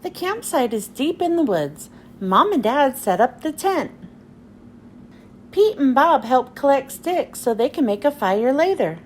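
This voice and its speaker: A woman hosting a children's read-aloud, telling a picture-book story in an expressive, deliberate way. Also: sits high, a little hiss or hum.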